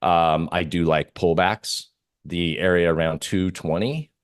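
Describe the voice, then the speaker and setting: A man giving casual market commentary as an interview guest, explaining in halting phrases with frequent restarts. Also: low voice, fairly even intonation, clean tone, dry recording, studio quality.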